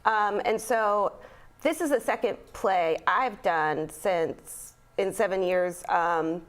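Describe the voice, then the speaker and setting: A woman's voice: medium pitch, slow. A young woman, a stage actor, chatting casually in an interview with lots of fillers and light laughs. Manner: chatty, many fillers, laughs while talking. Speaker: actor in a community theater play.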